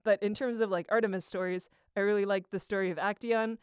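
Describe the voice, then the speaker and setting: A woman's voice: medium pitch, fast talker, clean tone, no room sound, studio quality. A young woman telling stories in a casual, slangy, irreverent way, rambling as she goes. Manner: slangy, irreverent, animated retelling with acted quotes. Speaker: amateur voice actor answering a question.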